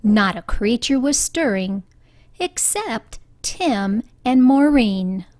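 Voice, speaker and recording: High-voiced, unhurried woman reading a storybook. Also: dry recording. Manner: deliberate, expressive phrasing. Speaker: storybook reader. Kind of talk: children's read-aloud narration.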